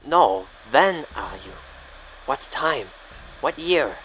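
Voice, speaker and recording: A man voicing a desperate German radio caller, pleading in halting English with a German accent. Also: medium pitch, sing-song, fairly bright tone, slight background noise, voice pushed up, poor audio. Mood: urgent pleading.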